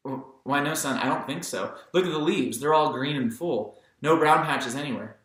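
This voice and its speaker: A man voicing a calm, reasonable middle-aged father in an audiobook, with a measured, reassuring manner. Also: a little high, lightly acted. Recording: very echoey room.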